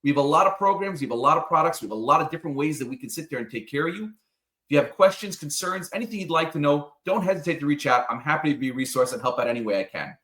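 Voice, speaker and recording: Fast, upbeat man pitching a mortgage service. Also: animated, a bit bright. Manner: upbeat salesy pitch, repeats phrases. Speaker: mortgage lender in an ad. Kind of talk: sponsor ad read. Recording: roomy echo.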